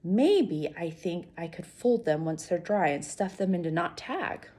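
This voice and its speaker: Female teacher type for a children's read-aloud. Slightly raspy voice, very varied intonation, talks straight to the kids. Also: on the low side, expressive, unhurried, dark tone. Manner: addresses young listeners directly. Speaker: teacher reading aloud to students.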